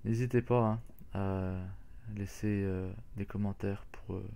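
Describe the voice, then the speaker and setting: A man's voice: fairly low pitch, fairly even intonation, unhurried, gravelly, warm tone. A man hosting a casual game walkthrough, talking hesitantly with many fillers and restarts. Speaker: gaming channel host.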